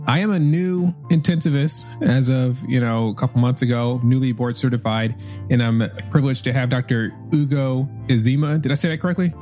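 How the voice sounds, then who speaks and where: A man's voice: medium pitch, brisk, mellow, soft background music. Casual, upbeat male podcast host. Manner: upbeat and informal, with slang.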